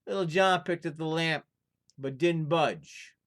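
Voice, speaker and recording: A man's voice: a little high, unhurried, fairly bright tone. A man telling folktales as an informal storyteller, moving between casual chat and narration.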